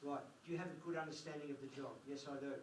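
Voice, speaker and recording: A man born in Hungary giving an informal talk to a group as a recruiter, lively and acting out interview exchanges. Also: fairly high pitch, racing. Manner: animated, acts out interview dialogue. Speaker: recruiter and presenter. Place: meeting room at a live event. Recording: echoey room from far off, faint noise.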